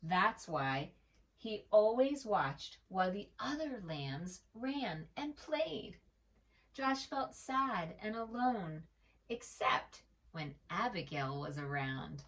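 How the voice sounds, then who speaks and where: A woman reads a picture book to small children with a gentle touch and lots of melodic movement. She takes her time over each phrase and speaks to the young listener directly. The microphone sits a little way off. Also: mid-range voice, slow pace, recorded from a distance. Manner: expressive, gentle, asks the listener questions. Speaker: children's storyteller. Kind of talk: storybook read-aloud for preschoolers.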